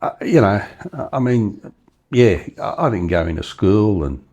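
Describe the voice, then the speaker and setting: Rambling older Australian man, lowish voice. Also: lively intonation, normal speed, darkish tone, breathy edge. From Western Australia. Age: 70s. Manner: rambling, many fillers and restarts. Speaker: interview guest. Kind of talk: casual storytelling interview.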